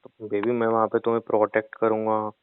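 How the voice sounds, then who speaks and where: A man's voice: fairly low pitch, monotone, fairly quick, smooth, no echo. Young guy teasing his gf on a call, tinny phone sound, dry. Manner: teasing, playful. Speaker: boyfriend in comedy phone call. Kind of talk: scripted comedic phone banter.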